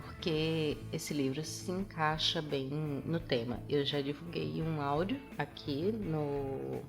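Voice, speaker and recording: A woman podcast host with a Brazilian Portuguese accent, chatting casually and sounding a bit nasal from a cold she is getting over. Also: sits low. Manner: chatty, self-correcting. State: recovering from a cold, slightly nasal. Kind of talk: casual solo podcast chat.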